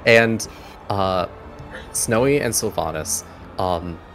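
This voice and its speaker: A man with a low voice acts as game master for a tabletop session. He improvises scene narration in loose, conversational language and puts choices to players. Music plays behind him. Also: slow, fairly bright tone.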